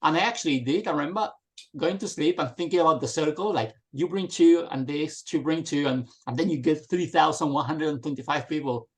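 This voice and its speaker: A middle-aged man from Spain, a guest telling his story on a video call. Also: a little high. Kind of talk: casual video call chat.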